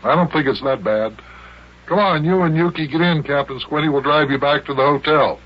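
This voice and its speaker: An actor playing a kindly, sensible guardian in an old-time radio serial, mild and gently chiding. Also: male voice, fairly high pitch, brisk, lightly acted. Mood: friendly. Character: kindly, sensible grown man, patient guardian. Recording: muffled, slightly noisy old radio.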